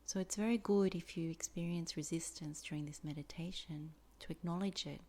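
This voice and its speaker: Female meditation teacher, slightly low register, soft and breathy at phrase ends, measured and deliberate delivery. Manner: slow, deliberate phrasing with many pauses. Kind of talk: guided meditation instruction.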